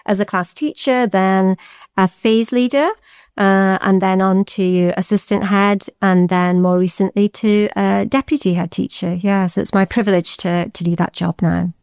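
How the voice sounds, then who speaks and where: Scottish woman with a smooth voice. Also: medium pitch, steady tone, normal speed, dry recording, studio quality. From Scotland. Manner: chatty, warm-hearted, with many fillers. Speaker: deputy head teacher. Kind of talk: interview answers.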